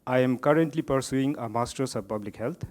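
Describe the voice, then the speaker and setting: A man from Bhutan, a graduate student, giving a prepared self-introduction in a steady manner. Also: medium pitch, brisk.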